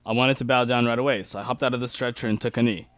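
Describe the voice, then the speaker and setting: A man's voice: mid-range voice, fairly even intonation, very fast, a bit bright, no room sound. A man reading first-person fan fiction aloud as an amateur dramatic narrator.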